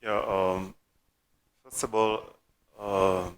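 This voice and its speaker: A man from the Democratic Republic of the Congo whose first language is French, a coach speaking as a panel guest in halting English with many pauses and fillers. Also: low-pitched, flat delivery. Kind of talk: panel discussion answers.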